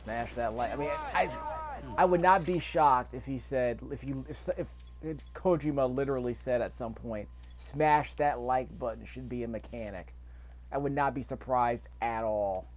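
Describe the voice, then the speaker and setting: A man's voice: medium pitch, normal speed. A man giving casual gameplay commentary, thinking aloud to himself as he plays. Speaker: gamer recording a gameplay video.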